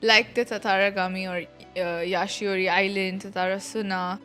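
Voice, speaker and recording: A woman streaming her reaction to a game. She talks informally in a mid-range voice, thinking out loud, with faint music behind her. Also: mid-range voice, little pitch movement, normal speed. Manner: informal, musing aloud. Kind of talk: casual live reaction commentary.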